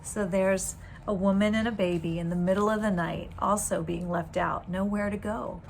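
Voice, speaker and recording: A woman reading a children's storybook aloud in an expressive, deliberate storytelling manner. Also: medium pitch, creaky, slight background noise. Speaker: teacher reading a book aloud.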